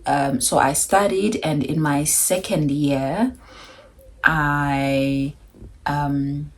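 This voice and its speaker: A woman's voice: sits low, fairly even intonation, extremely slow, a little hiss or hum. A South African woman in her thirties talking to camera in a chatty, candid vlog with wry asides. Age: 30s. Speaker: vlogger.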